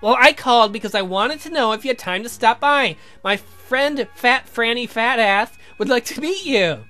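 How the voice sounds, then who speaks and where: A man's voice: very high voice, moderate pace, sharp tone, soft background music, pitched above the performer's own voice. A man voicing a sweet, eager young woman with a coy, slightly hesitant manner in a visual novel. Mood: sweet, apologetic.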